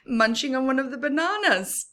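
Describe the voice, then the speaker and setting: A woman reading a picture book aloud expressively for young children, pausing for asides to her listeners. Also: high voice, pushed and bright. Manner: slow, deliberate storyteller addressing small children. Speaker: children's story reader.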